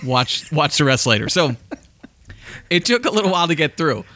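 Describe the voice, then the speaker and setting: A man's voice: a little high, big pitch swings, moderate pace, bright, forceful tone, no echo. A man from the Boston area, co-hosting a podcast in casual chat, hesitant and restarting often as he explains his opinions. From Massachusetts, outskirts of Boston. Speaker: podcast co-host.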